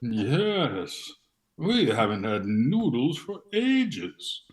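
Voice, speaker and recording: A man playing a meek, wistful hanger-on in an amateur play reading, in a plaintive, deliberate manner. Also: medium pitch, sing-song. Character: meek, wistful male hanger-on in rural Russia.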